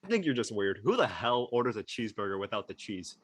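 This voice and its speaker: A man playing a brash, sarcastic and impatient high school student in a drama read. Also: sing-song, fast talker, a bit bright, slightly rough, heavily acted. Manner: blunt, sarcastic.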